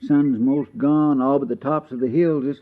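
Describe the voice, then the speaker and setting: A man's voice: medium pitch, fairly even intonation, normal speed, lightly acted. A man playing a loyal, plain-spoken country deputy with a rural American drawl in a 1950s radio western. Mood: anxious, folksy. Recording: muffled, hissy old radio broadcast.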